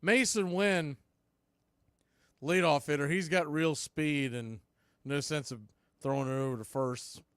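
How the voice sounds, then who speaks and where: A man's voice: fairly high pitch, a little slow, long pauses, fairly bright tone, clean tone, no echo, studio quality. A man doing live radio play-by-play of a baseball game as the announcer, in short clipped phrases. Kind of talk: live radio sports commentary.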